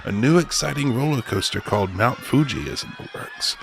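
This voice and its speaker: A man playing a boastful, excitable company boss given to wistful self-pity, theatrical and rambling in a comedic radio drama. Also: mid-range voice, brisk, creaky, slight background noise. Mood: boastful, excited.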